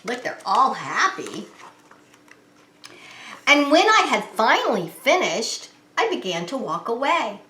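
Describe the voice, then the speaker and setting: A woman's voice: a little high, huge pitch swings, takes it slowly, pauses often, pushed and bright, a little hiss or hum. A woman hosting story time as a preschool teacher, reading a picture book aloud and explaining it simply and expressively to young children. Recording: roomy, echoing indoor sound.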